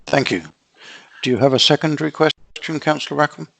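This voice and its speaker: A man's voice: on the high side, brisk, gravelly, a bit bright. Man chairing a formal meeting.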